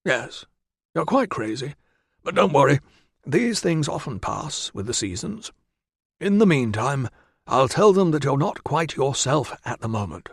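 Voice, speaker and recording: A man voicing a cheery, fussy Roman doctor who talks in a brisk, reassuring way and calls people "old chap". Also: medium pitch, sing-song, husky, dry recording, studio quality, voice pushed up, exaggerated voice. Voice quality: brisk, reassuring, says 'old chap'. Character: cheery middle-aged male Roman doctor, kindly, fussy.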